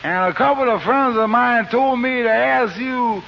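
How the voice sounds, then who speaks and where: An actor playing a slow, dim-witted errand runner with a hesitant drawl full of ums, in 1940s radio comedy. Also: male voice, extremely high pitch, gravelly, a little hiss or hum, full character voice. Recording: lo-fi old radio broadcast.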